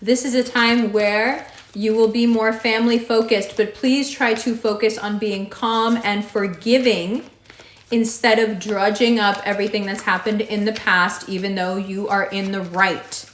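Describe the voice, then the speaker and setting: A woman with a raspy voice giving a monthly horoscope reading, direct and emphatic, repeating her advice. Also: medium pitch, steady tone, unhurried, slight background noise. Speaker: astrology reader. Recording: echoey room.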